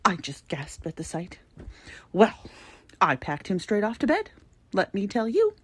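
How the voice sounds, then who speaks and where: Woman acting a prim, husky, fussy matron. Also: medium pitch, wildly swooping pitch, a little slow, exaggerated voice. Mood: exasperated. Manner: theatrical, proper, emphatic, old-fashioned diction. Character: prim, fussy, easily scandalized old-fashioned matronly lady. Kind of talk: dramatic letter reading.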